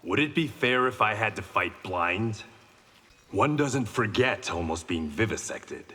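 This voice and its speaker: A man's voice: fairly low pitch, animated, measured pace, pushed and bright, slightly rough, heavily acted. An actor playing a proud, wry blind swordsman and former gangster, speaking in dry, guarded, terse lines in a video game. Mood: wry, confident. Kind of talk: video game fight banter. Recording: reverberant game hall sound.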